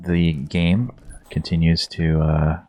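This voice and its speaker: Deep-voiced man doing deadpan golf-style commentary. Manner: hushed, measured, mock-dignified, deadpan. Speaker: co-op streamer, commentator. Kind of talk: mock golf commentary over video game. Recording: faint background noise.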